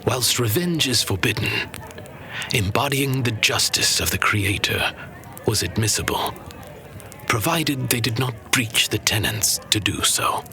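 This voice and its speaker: An actor playing a wise, kindly old archivist in a fantasy audio drama, telling tales to his apprentice in a formal, unhurried way. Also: mid-range voice, lively intonation, bright, forceful tone. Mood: solemn, instructive. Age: older. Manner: formal, unhurried, teasing storyteller.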